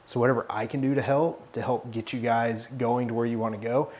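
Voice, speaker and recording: A man's voice: mid-range voice, brisk. Forty-something guy, blunt mentor, heavy swearing, mid-range voice. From Arkansas. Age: 40s. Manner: profane, blunt, animated. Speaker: fitness consultant. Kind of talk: casual mentoring chat.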